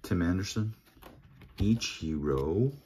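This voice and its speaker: A man opening packs of baseball cards at a home table, reading off player names one by one in a relaxed, murmuring commentary. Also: deep voice, slow, slight background noise. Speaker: card collector opening packs. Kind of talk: casual pack-opening commentary. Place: home table with card collection display.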